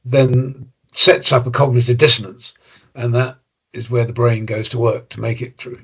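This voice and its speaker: A man's voice: medium pitch, flat delivery, normal speed, a bit bright, breathy edge, dull audio. A man hosting a podcast interview, friendly and thoughtful, summarizing what his guest says. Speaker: podcast host.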